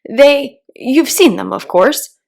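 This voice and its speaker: A sentimental old-fashioned storybook turtle creature, played by a woman. He has a theatrical, drawn-out way of talking, pitched on the high side and full of expression. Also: lively intonation, vocal fry, darkish tone, full character voice. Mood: earnest, wistful.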